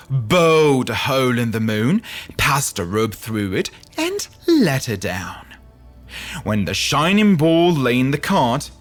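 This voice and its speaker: A man narrating a scripted fairy tale in a theatrical, deliberate storytelling manner, as a podcast host would. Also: fairly high pitch.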